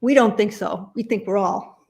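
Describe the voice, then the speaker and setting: A woman from Sweden, talking as a guest on a video call. She speaks at a middling pitch and often restarts her sentences, with soft laughs in between. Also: gravelly. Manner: halting, many restarts and little laughs. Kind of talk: casual interview chat.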